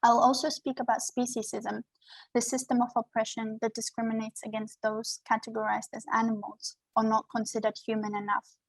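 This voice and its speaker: A woman whose first language is German, giving an earnest, measured lecture from her notes over a video call from home. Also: on the high side, flat delivery, no room sound, rough recording. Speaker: guest lecturer.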